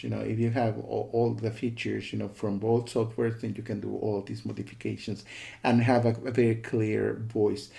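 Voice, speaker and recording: A man's voice: lowish voice, creaky, warm tone. A man giving a casual, disapproving spoken review, repeating himself and often checking in with "okay" and "you know.". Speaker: software reviewer.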